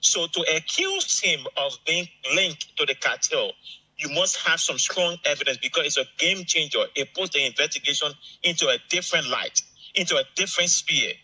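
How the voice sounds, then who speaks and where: Sharp, bright-voiced Liberian man giving commentary. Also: fairly high pitch, moderate pace, breathy edge, low-quality recording. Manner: emphatic, repeats phrases for stress. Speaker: analyst, call-in guest. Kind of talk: unscripted political commentary.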